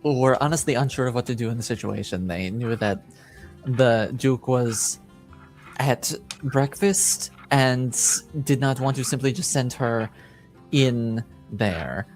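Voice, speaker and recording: A game master plays a deferential servant of a noble house in a tabletop fantasy game. The delivery is formal and hesitant, with slow, measured pacing and faint music behind it. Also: mid-range voice, darkish tone, lightly acted. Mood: apologetic, frank. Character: polite, deferential household servant reporting to nobility.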